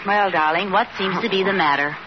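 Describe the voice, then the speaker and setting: An actress playing a teasing, sarcastic but doting wife in an old-time radio comedy. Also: female voice, mid-range voice, brisk, natural voice. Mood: coaxing, sarcastic. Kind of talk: 1940s-50s radio comedy acting. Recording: muffled, very noisy vintage radio recording.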